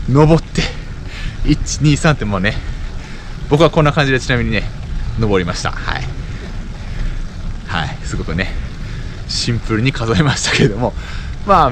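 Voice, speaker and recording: A man speaks in a casual vlogging style with a lively, varied pitch at a middle register. He explains things directly to his viewers like a presenter out on a ride. The recording is outdoors, with clear wind and ambient noise behind him. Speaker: cycling vlogger presenting local activities. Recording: noisy outdoor audio with wind rumble.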